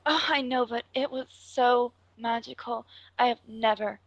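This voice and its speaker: A woman making a prank phone call, gushing in a breathy, exaggeratedly flirtatious way. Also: a little high, fairly even intonation, a little slow, dry recording. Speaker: prank caller.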